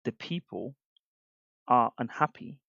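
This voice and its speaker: A man's voice: mid-range voice, animated, slow, creaky, bright, forceful tone, no echo, lo-fi sound. A man co-hosting a podcast who argues by asking probing questions and restarts his sentences again and again. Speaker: podcast co-host. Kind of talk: heated debate.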